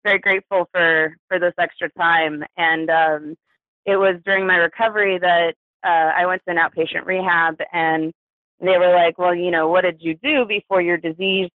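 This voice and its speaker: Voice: mid-range voice, fairly even intonation, moderate pace, dry recording. Phone-like recording of an American in their thirties. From New Mexico, United States. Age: 30s. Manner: hesitant, chuckles through hard subjects. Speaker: interview guest, poet. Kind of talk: candid personal storytelling in an interview. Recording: tinny phone-like radio sound.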